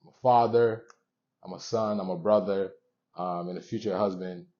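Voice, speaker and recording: A young man hosting a motivational show, speaking to viewers in an earnest, conversational way with pauses between short phrases, on a dull recording. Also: sits low, steady tone, dark tone. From born in Haiti, moved around the US. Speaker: show host.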